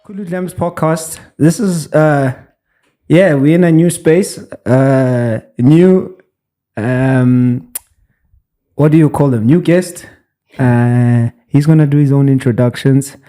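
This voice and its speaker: Mellow-voiced man hosting a podcast. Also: mid-range voice, slow. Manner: chatty, teasing, hesitant fillers. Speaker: podcast host. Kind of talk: casual interview. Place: podcast studio.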